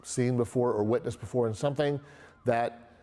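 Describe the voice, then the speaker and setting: Middle-aged american guy being interviewed, medium pitch, plain talker, candid, repeats himself a bit. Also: steady tone, normal speed, warm tone. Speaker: interviewee, dog trainer and former police officer.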